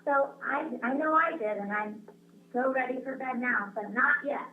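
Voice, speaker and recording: A woman's voice: high voice, normal speed. A woman reading a storybook aloud to children as a guest teacher, talking directly to them. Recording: roomy, phone-like webcast with faint noise.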